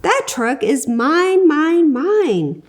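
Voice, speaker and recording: A possessive little storybook toad who whines in a sing-song way, voiced by a woman reading aloud. The voice sits high and drawn out, slow and lively in pitch. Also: heavily acted. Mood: whiny. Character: whiny possessive young toad, boy.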